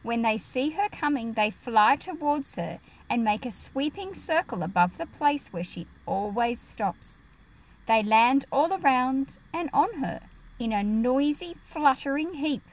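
A woman's voice: high voice, expressive, a little slow, low-quality recording. A woman reading a picture book aloud and explaining it to children in a careful, teacherly way. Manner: careful, explanatory, enunciated for young listeners.

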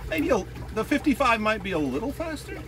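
A man in his sixties casually demonstrating boat motors, talking in a lively, rambling way and correcting himself, recorded outdoors on a small boat by a mounted camera. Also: very high-pitched, fairly quick. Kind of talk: casual product demo. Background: motor and water noise.

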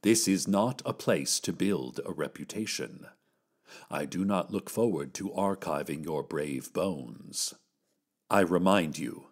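An actor playing a solemn, ominous keeper of a mysterious stairway, speaking in a formal, measured, cautionary manner in an audio fiction broadcast. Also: male voice, deep voice, exaggerated voice. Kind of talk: scripted audio fiction radio broadcast monologue.

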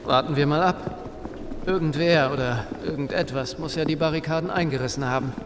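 A man's voice: fairly high pitch, fairly quick, close to an everyday voice. An actor playing a wary, impatient male survivor in an audio drama. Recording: reverberant hall, faint noise.

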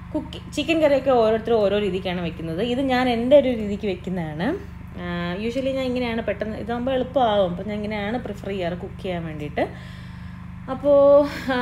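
A young woman with a Malayali accent, chatting through a home cooking vlog in a kitchen and mixing English words into her speech. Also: medium pitch. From Kerala. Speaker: cooking vlogger. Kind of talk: casual cooking voice-over. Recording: noisy background.

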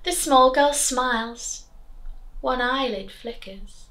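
A young woman teacher reading and chatting expressively to children, clearly enunciated. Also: high voice, slow, pushed and bright, rough recording. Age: young adult. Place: small living room, on a sofa.

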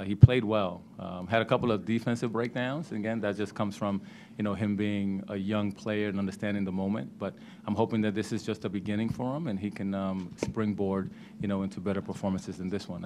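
Deep-voiced middle-aged male coach, measured, stop-start phrasing, slight room hiss. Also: flat delivery. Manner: measured, repeats words when starting sentences. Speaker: head basketball coach. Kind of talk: postgame press conference answers. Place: press room. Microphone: table microphone.

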